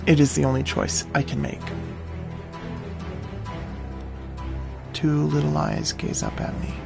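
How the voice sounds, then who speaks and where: An actor playing a wary, stern great-cat hunter, narrating in the first person in grave, clipped, deliberate phrases for an audio drama. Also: male voice, a little high, huge pitch swings, creaky, exaggerated voice. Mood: stern, resolute. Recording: music under the voice. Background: dramatic music.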